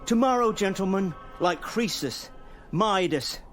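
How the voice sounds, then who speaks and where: A man's voice: sits high, lively intonation, measured pace, a bit bright, soft background music. An actor playing a pompous, exacting male professor and criminal mastermind, speaking precisely and formally in a theatrical radio drama. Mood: gloating.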